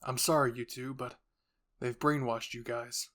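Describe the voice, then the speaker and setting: A man's voice: mid-range voice, steady tone, a little slow, fairly bright tone, lightly acted. Man voicing a self-assured, menacing male villain. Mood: persuasive. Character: menacing, self-assured blond male enemy offering sympathy.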